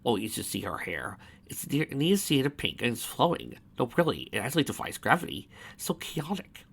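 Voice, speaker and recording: A man's voice: medium pitch, sing-song, normal speed, gravelly, fairly bright tone, husky, pitched above the performer's own voice, exaggerated voice. A man voicing a playful, mischievous male chaos spirit with a bouncy, gleeful delivery. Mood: dreamy.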